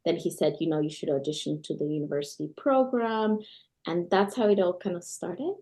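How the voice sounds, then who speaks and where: A woman from Peru, guest on a podcast interview, talks about her life in an eager, storytelling way. Her voice sits a little low for a woman, and she sometimes restarts a phrase as she goes. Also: fairly even intonation, brisk, dark tone. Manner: enthusiastic, storytelling with occasional restarts. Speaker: guest, guitarist and scholar. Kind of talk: conversational interview answers.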